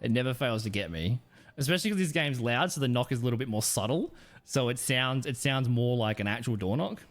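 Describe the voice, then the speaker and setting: A man's voice: medium pitch, expressive, fairly quick, soft background music. A man streaming a game live, chatting casually with viewers and giving rambling, blunt commentary full of slang and some swearing.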